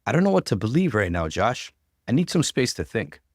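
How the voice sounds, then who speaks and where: A hurt, confused wife in a story reading, played by a male narrator. The delivery is hesitant and guarded, with lively movement in pitch. The sound is dry and close. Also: a little fast, no echo. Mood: withdrawn. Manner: hesitant, faltering.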